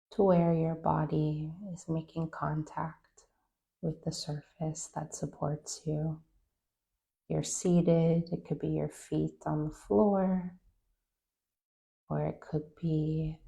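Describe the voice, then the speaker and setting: A woman leading a guided meditation, giving calm, gentle, unhurried instructions with long pauses. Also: low-pitched, vocal fry. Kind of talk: guided meditation, soft scripted-sounding instruction.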